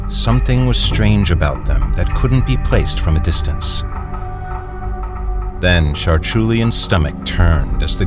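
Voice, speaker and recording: A man with a very low voice reads story narration in a steady, descriptive manner. Music plays clearly under him. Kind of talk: scripted narration.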